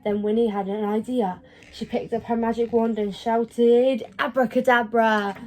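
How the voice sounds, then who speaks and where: Mellow, higher-pitched woman reading a storybook. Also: level tone, normal speed, low-quality recording. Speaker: storybook reader. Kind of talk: expressive read-aloud of a children's story.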